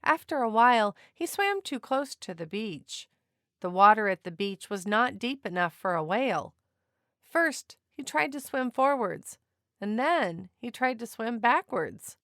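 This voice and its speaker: A woman narrating a children's picture book aloud in an expressive, deliberate storytelling manner. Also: fairly high pitch, no room sound, studio quality.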